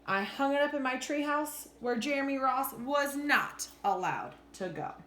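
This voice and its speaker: A woman reading a children's storybook aloud in an expressive, deliberate storytelling manner. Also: high voice. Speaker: teacher reading a picture book aloud. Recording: roomy echo with faint background noise.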